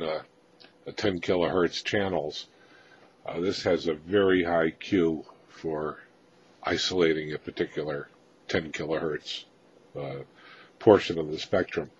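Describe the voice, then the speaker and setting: A man with a low-pitched voice presents gear informally, like a hobbyist talking without a script. His phrasing is halting, with frequent pauses mid-sentence. The recording is dull and lo-fi, with faint noise behind him. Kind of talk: informal unscripted equipment walkthrough.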